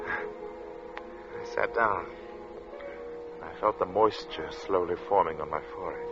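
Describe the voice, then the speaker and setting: An actor playing a brooding, haunted artist who narrates his story in a deliberate, dramatic 1940s radio style. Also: low voice. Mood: haunted, uneasy. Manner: deliberate, dramatic, with weighted pauses. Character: male artist recounting a strange, oppressive hot day, brooding and haunted. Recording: dull vintage radio recording with loud music.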